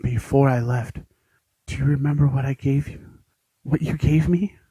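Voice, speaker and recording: A man voicing a tender, devoted young soldier returned from death, speaking in a hushed, halting, earnest way in audition drama style. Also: medium pitch, fairly even intonation, slightly rough, dry recording, low-quality recording. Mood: gentle, searching.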